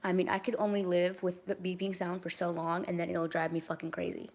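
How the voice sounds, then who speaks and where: A young woman with a hoarse, raspy voice from a sore throat talks casually straight into a phone held at arm's length as she wanders through her house. She rambles with plenty of ums and likes, swears freely, and sits a little low for a woman. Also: monotone, brisk, a little mellow. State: hoarse from acid reflux. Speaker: vlogger. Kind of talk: casual vlog rant. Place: large home kitchen and rooms, indoors. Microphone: phone camera held at arm's length, walking around.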